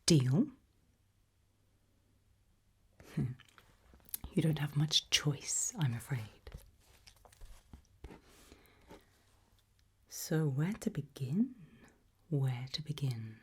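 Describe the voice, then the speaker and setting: A British woman playing an eerie, probing researcher of human behaviour in a whispered ASMR roleplay, slow, deliberate and softly menacing. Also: low voice, animated, exaggerated voice. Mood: quietly menacing. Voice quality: breathy whisper.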